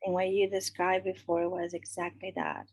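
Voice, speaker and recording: Soft, flat-voiced woman sharing gratefully. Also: lowish voice, normal speed, breathy edge, rough recording. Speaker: participant in a group call.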